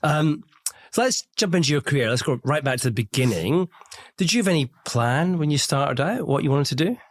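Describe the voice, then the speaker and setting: A man's voice: on the high side, animated, a little fast. A man hosting an interview podcast, talking in a chatty, easygoing way with many ums and restarts as he asks questions. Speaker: podcast host, recruiter. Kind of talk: conversational interview.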